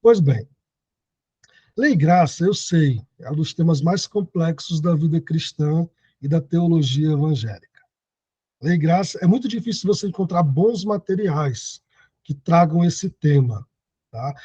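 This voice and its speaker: 30s guy teaching bible class online, high-ish voice, kinda husky, dull lo-fi call sound. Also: animated, a little slow, warm tone, no room sound. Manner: explanatory, reads scripture aloud and comments. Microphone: video call.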